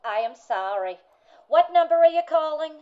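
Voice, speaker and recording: A woman's voice: sits high, lively intonation, normal speed. A woman playing a polite, unflappable telephone operator in a radio play, speaking in formal, rote phrases. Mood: politely impassive. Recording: dull, band-limited sound.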